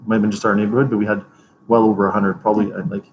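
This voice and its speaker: A man's voice: lowish voice, level tone, very fast, dull audio, slight background noise. A man co-hosting a martial arts gym podcast, chatting casually and laughing often. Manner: laughs often, loose rambling talk. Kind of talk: casual chat.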